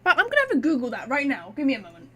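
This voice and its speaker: A woman's voice: high-pitched, wildly swooping pitch, very fast, a little hiss or hum. A woman game streamer giving casual live commentary with offhand, muttered reactions.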